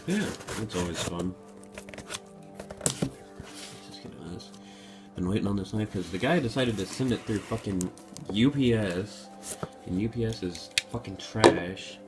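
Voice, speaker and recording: A man's voice: fairly low pitch, lively intonation, measured pace, long pauses, over loud music. A young man with a sore throat from being sick, casually unboxing a knife at a table and grumbling and swearing as he goes. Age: young adult. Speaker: vlogger. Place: indoor room at a table.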